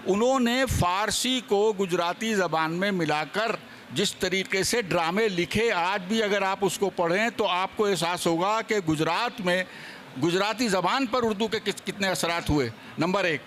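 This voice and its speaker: A man with a notably high voice speaks on a seminar panel. He lays out history emphatically, counting off his points and pressing them home. A little noise sits behind him at the live event. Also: unhurried, a bit bright. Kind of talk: animated explanatory panel talk on theatre history.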